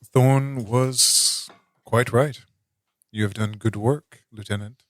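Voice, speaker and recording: A man playing a calm military intelligence officer in a tabletop game, speaking formally in halting, measured phrases. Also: medium pitch, no room sound, natural voice. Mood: calm, deliberate. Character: calm, deliberate male military intelligence officer, quietly insistent.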